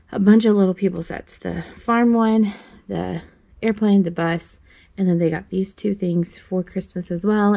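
A young woman with a low, gravelly voice chats casually to a phone camera held at arm's length. She rambles through her thoughts with lots of ums and restarts, recorded in a small room at home. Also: warm tone. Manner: rambling, hesitant, many fillers and restarts. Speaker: mom vlogger. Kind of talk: casual chat. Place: small carpeted playroom at home, indoors. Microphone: handheld phone camera at arm's length.

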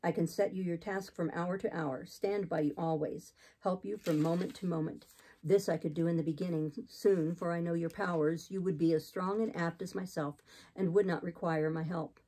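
Woman voicing a deep, relentless, pious missionary man. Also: steady tone, moderate pace, dark tone, husky, rough recording. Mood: firm reassurance. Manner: solemn, exhorting, unyielding. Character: zealous, relentless, austere male missionary, commanding and pious. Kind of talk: audiobook character reading.

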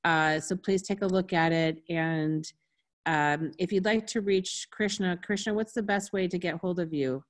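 A woman's voice: lowish voice, steady tone, unhurried. A woman hosting an online conference session over a video call, talking casually and restarting her sentences. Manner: chatty, apologetic, restarts under tech trouble. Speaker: conference host.

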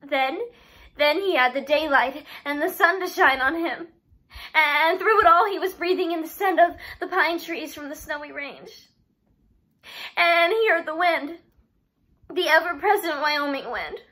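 A young woman plays a grieving father facing his son's killer in a dramatic stage monologue. The voice is high and bright, halting and full of emotion, with heavy pauses. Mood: quiet grief, then tearful, swelling. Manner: halting, emotional, with repeated words and long pauses.

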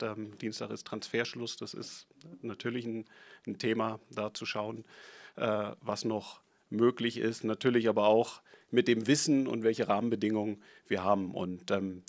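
A man in his forties from Berlin, an eager new sporting director speaking at a press conference on a table mic. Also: fairly low pitch. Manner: eager, upbeat. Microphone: table microphone.

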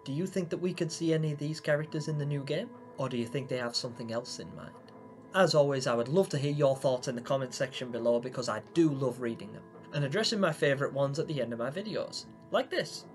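A man in his twenties hosting a scripted theory video, speaking in an expressive, theatrical storytelling way. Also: mid-range voice, fast talker, faint music behind. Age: 20s. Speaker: video host. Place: small room at home, indoors.

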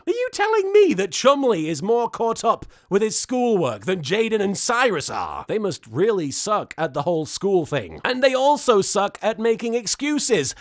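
Male British vlog host, thirties, wry and exasperated, lively high-pitched delivery. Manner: sarcastic, exasperated. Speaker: host, episode reviewer. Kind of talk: comedic recap and commentary to camera.

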